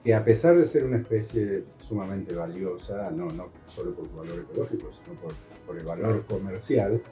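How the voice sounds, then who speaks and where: A man's voice: low-pitched, expressive, normal speed, reverberant, music in the background. A man with an Argentine accent hosting an interview, asking questions in a friendly, informal way. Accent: Rioplatense Argentine Spanish. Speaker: host interviewer.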